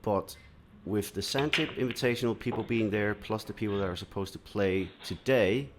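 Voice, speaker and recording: A man from Denmark giving calm solo commentary on a pool match, explaining shots and answering viewer chat. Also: on the low side, moderate pace, background noise. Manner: relaxed, explanatory, many "uh" fillers.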